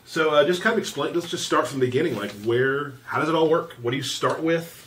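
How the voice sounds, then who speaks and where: A man's voice: a little high, fast, fairly bright tone, far from the mic, slight background noise. A man hosting a casual developer livestream, chatting with guests in a jokey, informal way. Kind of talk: casual chat, interviewing guests.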